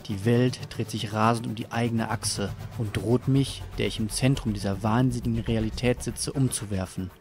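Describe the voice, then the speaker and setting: A man's voice: on the low side, steady tone, moderate pace, few pauses, darkish tone, close to an everyday voice. Man acting a brooding, tormented narrator. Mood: anguished, brooding. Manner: brooding, dramatic. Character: tormented, disoriented man narrating his waking nightmare. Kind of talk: scripted first-person audio drama narration. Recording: music under the voice.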